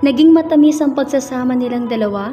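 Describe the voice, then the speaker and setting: A woman's voice: high voice, fast talker, dull audio. A young woman with a Filipino accent reading scripted narration for a radio drama. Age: young adult. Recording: reverberant hall, music behind.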